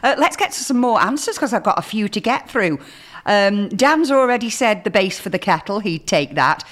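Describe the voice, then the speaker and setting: A woman's voice: mid-range voice, expressive, normal speed, a bit bright. A woman hosting a local radio show, chatting casually, reading out listener texts and laughing often. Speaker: radio host.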